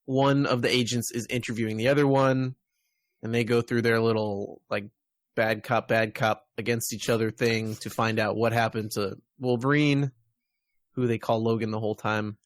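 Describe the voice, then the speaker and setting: Guy podcast host just chatting thru a review, thinks out loud, mid pitch, no room sound. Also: level tone, normal speed, no echo. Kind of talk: casual review chat.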